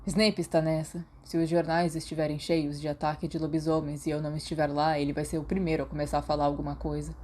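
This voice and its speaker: A woman's voice: little pitch movement, fast talker, hardly any pauses, dark tone, a little hiss or hum, close to an everyday voice. A woman with a Brazilian Portuguese accent voicing an exhausted, withdrawn teenage boy werewolf who speaks tiredly. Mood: weary. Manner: tired, low-key.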